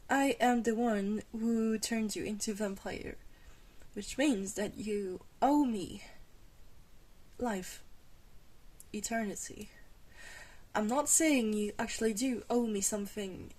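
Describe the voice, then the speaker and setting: An ancient female vampire, acted by a woman, speaks slowly and softly in an intimate ASMR roleplay. She is gentle and alluring, guiding with a reassuring, faintly hesitant manner. Her pitch sits in an ordinary middle range for a woman. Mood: calmly commanding. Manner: slow, hesitant, reassuring, with breathy pauses and small chuckles. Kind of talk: soft-spoken ASMR roleplay.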